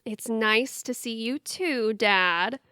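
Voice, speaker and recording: Clear, bright, exasperated young adult daughter, played by an actress. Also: female voice, on the high side, slow, no room sound. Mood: wry, defensive. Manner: dry, wry, patient but exasperated. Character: exasperated independent adult daughter, a self-assured tomboy. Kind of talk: audio theatre dialogue.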